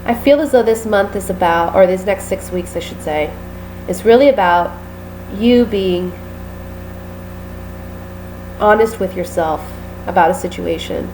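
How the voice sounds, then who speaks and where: A woman with a stuffy, nasal voice from a sinus infection talks through a tarot reading in a relaxed, apologetic way, filling gaps with "um" and "uh". Her voice sits mid-pitched, and a clear steady hiss runs under the home recording. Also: a little slow, pauses often, low-quality recording. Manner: apologetic, chatty, many fillers. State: sick with a sinus infection, sniffly. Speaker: tarot reader. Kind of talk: casual tarot reading to camera.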